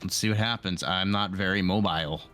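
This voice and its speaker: Bright, lowish-voiced man playing a tabletop game. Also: brisk, soft background music. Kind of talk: casual game chat.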